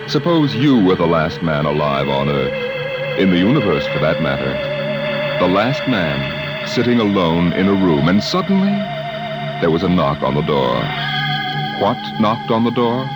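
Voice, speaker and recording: A man's voice: low-pitched, big pitch swings, measured pace, loud music behind the voice. A man narrating an old radio drama as announcer in an ominous, theatrical way. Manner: ominous, theatrical, sweeping delivery. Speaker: show announcer.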